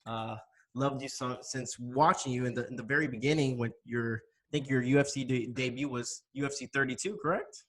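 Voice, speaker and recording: A man's voice: medium pitch, fairly even intonation, a little fast, fairly bright tone. A man from New Jersey who grew up in Miami, hosting an interview as an enthusiastic fan of his guest. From New Jersey, raised in Miami. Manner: enthusiastic fan, friendly, asks questions. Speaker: podcast host. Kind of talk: casual interview conversation.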